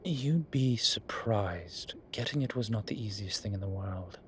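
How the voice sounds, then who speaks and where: A man playing a suave, vain incubus demon professor in an audio roleplay, speaking in a formal, measured, wryly teasing way. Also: fairly low pitch, animated, a bit bright, a little hiss or hum. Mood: wry, confiding.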